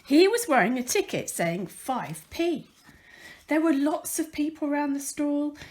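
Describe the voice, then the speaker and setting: Female children's story reader: high-pitched voice, unhurried pace, expressive and deliberate delivery. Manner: deliberate, careful storytelling with dramatic emphasis. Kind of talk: expressive read-aloud of a children's picture book.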